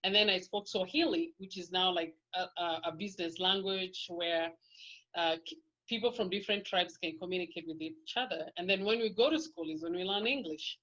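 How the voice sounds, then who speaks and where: A middle-aged Kenyan woman with a lowish voice recounts her childhood as an interview guest. Her storytelling rambles and often restarts. The sound is dull and lo-fi. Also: brisk, sharp tone. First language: Kikuyu. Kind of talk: casual life-story interview.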